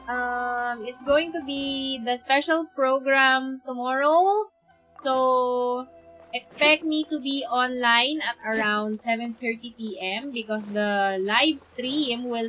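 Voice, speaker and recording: A woman with a Filipino accent, a native Tagalog speaker, chatting casually on a game livestream and thinking aloud with searching pauses. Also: fairly high pitch, a bit bright, poor audio. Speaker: live game streamer. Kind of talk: casual livestream chat while gaming.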